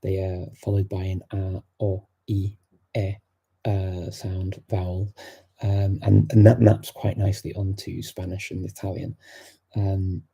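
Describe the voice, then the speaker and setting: A middle-aged man hosting a live-stream Q&A, answering viewer questions in a thoughtful, unhurried way full of fillers and restarts. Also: low voice, completely flat delivery, vocal fry, warm tone, husky. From England.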